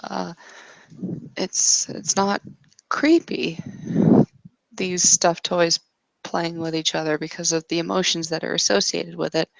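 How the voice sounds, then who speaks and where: A woman improvising narration for a tabletop roleplaying game in a halting, tentative way. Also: on the low side, flat delivery, creaky, dry recording, rough recording. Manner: halting, tentative, piecing sentences together.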